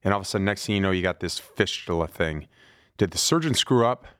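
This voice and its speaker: A man's voice: deep voice, animated, fast talker, a bit bright. Upbeat man hosting a podcast. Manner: friendly, upbeat questioning. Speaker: podcast host. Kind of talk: podcast interview.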